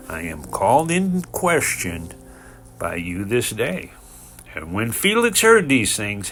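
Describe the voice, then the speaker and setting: A man giving a measured, expressive reading of scripture in a formal narrating style. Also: medium pitch. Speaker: scripture reader. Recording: music behind the voice.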